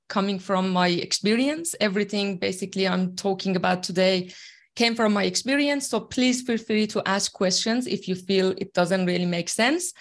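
A woman from Iran whose first language is Farsi, a tech team leader speaking as an interview guest, explaining earnestly from her own experience with many fillers. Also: mid-range voice. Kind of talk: interview conversation.

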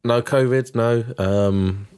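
A man's voice: medium pitch, slow pace, a little mellow, clear, resonant tone. A man co-hosting a podcast in casual chat, dry and laid-back, a little hesitant. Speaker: podcast co-host.

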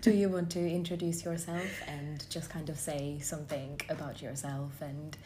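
A woman's voice: deep voice, little pitch movement, normal speed, mellow, a little hiss or hum. A woman in her twenties from Hungary with a Hungarian accent, co-hosting a podcast in casual, giggly chat full of "like". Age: 20s. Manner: giggly, chatty, lots of "like". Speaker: podcast co-host.

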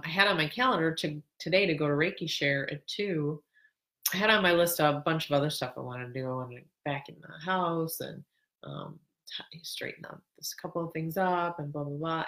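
A woman's voice: sits low, fairly bright tone. A middle-aged woman hosting a casual solo podcast, talking in a rambling, self-correcting way, with a stuffy, congested nose. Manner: rambling, self-correcting, laughs now and then. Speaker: podcast host.

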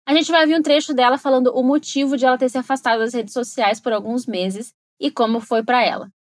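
A woman's voice: high voice, fairly even intonation, fast, slight background noise. A woman with a Brazilian Portuguese accent, hosting an educational podcast and explaining things with enthusiasm and asides to the listener. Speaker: podcast host and English teacher. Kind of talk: scripted educational podcast narration.

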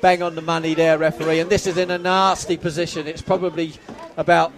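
Excitable play-by-play man, high-pitched, slightly worn voice, faint crowd behind. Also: fast. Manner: excitable, shouts at goals, self-mocking. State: losing his voice. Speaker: football commentator. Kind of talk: live play-by-play commentary. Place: outdoors at a football ground. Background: crowd noise.

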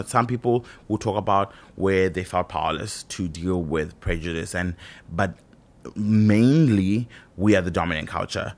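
A man who grew up in Zimbabwe, talking thoughtfully in a casual monologue, pausing and restarting often with "you know". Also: deep voice, creaky.

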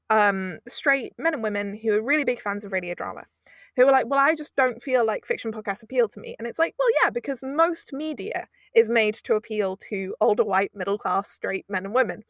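A woman talks as an interview guest, pressing her points with emphatic rhetorical questions. Her voice sits fairly high. The recording is close and dry, with no room sound. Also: a bit bright, no room sound. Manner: fast, emphatic, rhetorical asides. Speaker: audio drama producer, guest. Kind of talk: conversational interview.

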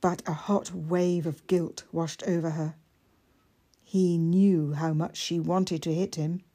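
Female fiction narrator: measured, expressive, slow-paced, with a breathy, husky edge. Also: fairly low pitch. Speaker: author reading own fiction. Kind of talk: scripted story narration.

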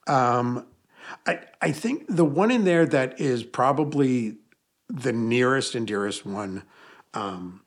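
A man's voice: mid-range voice, animated, slow. A man, an author and podcast guest, telling stories in a rambling way and correcting himself as he goes. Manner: rambling storyteller with self-corrections. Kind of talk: casual podcast interview.

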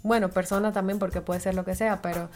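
A woman's voice: mid-range voice, steady tone, quick pace, a little mellow, faint music behind. A woman with a Dominican accent, co-hosting a casual talk show and explaining a topic with frequent fillers and restarts. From Dominican Republic. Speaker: co-host. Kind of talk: casual radio chat.

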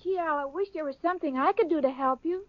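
A woman's voice: high voice, quick pace, no echo, lightly acted. An actress playing a sweet, scatterbrained young woman in a 1940s radio sitcom, earnest and bubbly. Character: ditzy, sweet young woman, cheerful and muddled. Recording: dull lo-fi old radio broadcast.

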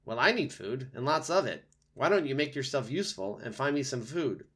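A man's voice: mid-range voice, expressive, a little fast, fairly bright tone, breathy edge, pitched above the performer's own voice. A man voicing a vain, hungry male fox in an animal story, with a demanding, dramatic manner. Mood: pushy, sardonic.

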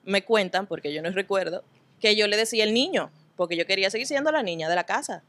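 A woman's voice: mid-range voice, animated, fast talker, a bit bright, dry recording. A woman, a guest telling stories in a casual interview. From Dominican Republic. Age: 20s. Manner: chatty, laughing, storytelling.

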